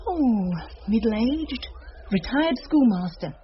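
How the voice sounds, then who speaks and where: An actress playing a wry, worldly British schoolteacher in a radio drama, talking in a dry, teasing, gossipy way. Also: female voice, a little high, lively intonation, takes it slowly. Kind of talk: radio drama acting, conversational. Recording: slightly dull radio recording, background noise.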